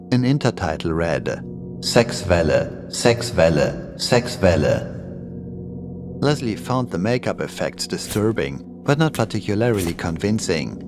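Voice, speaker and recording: A man with a fairly low voice narrates a horror tale over loud, creepy music and sound effects. His delivery is ominous and dramatic, with lively swings in pitch.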